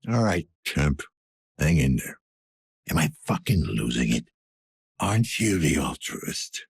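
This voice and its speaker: An actor playing a weary, gruff older police detective in a cyberpunk video game, with a gravelly, breathy rasp and a terse, dry manner. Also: male voice, extremely low pitch, big pitch swings, takes it slowly, heavily acted. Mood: wry, weary. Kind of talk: scripted game character dialogue.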